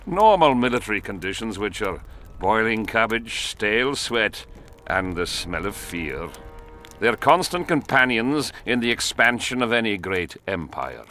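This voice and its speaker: Swaggering war leader, forceful bright voice, widely swinging pitch, blunt and cynical, fantasy game. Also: male voice, sing-song, measured pace, soft background music, exaggerated voice. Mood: grim pride. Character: brash, cynical military commander of an invading army. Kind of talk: video game dialogue acting.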